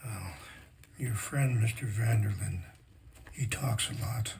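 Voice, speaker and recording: An actor playing a grave, gentle old chief in a video game, speaking in a measured, reflective way with a gravelly voice. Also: male voice, fairly low pitch, a little hiss or hum. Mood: gentle, pleading. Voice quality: gravelly, creaky. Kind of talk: cinematic video game acting.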